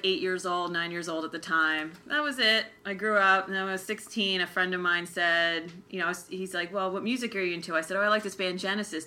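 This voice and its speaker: A woman's voice: mid-range voice, pushed and bright. A woman telling anecdotes as a panelist in an informal, conversational manner. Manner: informal, uses "like" often. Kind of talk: casual anecdotal chat.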